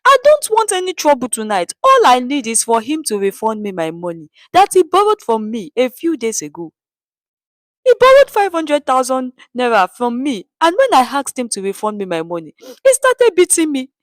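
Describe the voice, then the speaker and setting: Woman acting out a high, wailing, pleading woman. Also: huge pitch swings, moderate pace, dry recording. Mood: aggrieved pleading. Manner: tearful, pleading. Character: pregnant con woman, wailing and accusing loudly. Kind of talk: dramatic storytelling dialogue.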